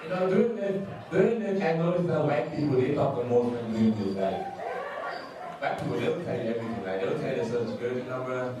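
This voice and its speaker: A young man from Ghana with a Ghanaian accent performing a stand-up comedy set, loose and laughing, jumping into quick impressions of other people. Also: medium pitch, lively intonation. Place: comedy club stage, room echoing. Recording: echoey club from far off.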